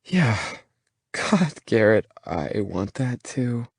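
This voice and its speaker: Male narrator doing a young woman, breathy and flustered, lifted well above his own low voice. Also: big pitch swings, drawn-out words, no room sound, clean studio sound, heavily acted. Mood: breathless.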